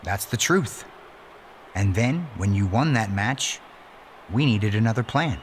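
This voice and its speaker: Menacing wrestling messiah, pompous, deliberate, lowish voice, faint background noise, video game cutscene. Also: male voice, animated. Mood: earnest persuasion. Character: menacing, self-righteous messianic wrestling villain, persuasive and threatening. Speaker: wrestling villain. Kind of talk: dramatic scripted monologue.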